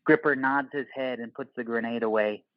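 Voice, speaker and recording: A man's voice: mid-range voice, fairly even intonation, a little fast, dry recording, lo-fi sound. A man narrating an audio play, reading stage directions in terse screenplay phrases.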